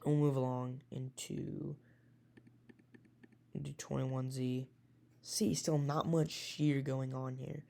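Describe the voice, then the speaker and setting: A man with a raspy voice, a weather enthusiast casually talking through a forecast with many hesitations and self-corrections. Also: medium pitch, flat delivery, slow pace, long pauses, dark tone.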